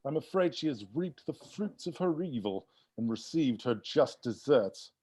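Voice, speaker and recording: A man playing a grave, formal butler in a comedy table read, with a husky voice and declamatory delivery. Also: medium pitch, lively intonation, a little slow, dark tone. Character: grave, composed butler presiding over murder suspects. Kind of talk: live table read of comedy film script.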